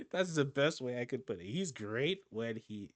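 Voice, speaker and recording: A man's voice: mid-range voice, lively intonation, a little slow, no echo. A man giving casual, rambling reaction commentary on a video, often restarting and laughing. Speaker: YouTube reaction host.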